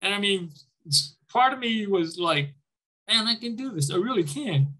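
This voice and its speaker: An older man with a somewhat high voice talks like a veteran looking back on his service. He tells it informally and conversationally, slipping into the dialogue he remembers. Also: lively intonation, moderate pace, pushed and bright, poor audio. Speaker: military veteran, former Army air traffic controller, interviewee. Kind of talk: conversational war reminiscence.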